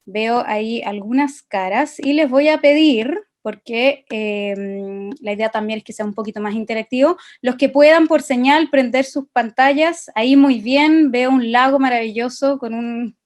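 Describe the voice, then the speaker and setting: A woman's voice: fairly high pitch, steady tone, a little slow, few pauses. A woman with a Chilean Spanish accent giving an informal, friendly and chatty online class to participants on a video call. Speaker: presenter of an online masterclass. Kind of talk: informal interactive online lecture.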